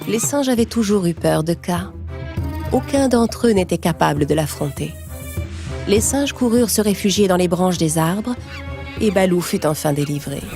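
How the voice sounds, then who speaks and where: A woman's voice: on the low side, animated, normal speed. A woman storyteller reading a scripted children's tale in narration style. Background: music.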